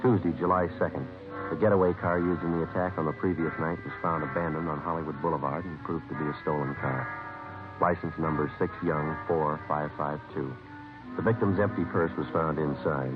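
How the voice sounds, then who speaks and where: An actor playing a terse, businesslike American police detective in a 1950s radio crime drama, clipped and deadpan. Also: male voice, extremely low pitch, a little fast. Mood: matter-of-fact. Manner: clipped, deadpan, matter-of-fact. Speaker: police detective narrator and lead. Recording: muffled vintage radio recording with music.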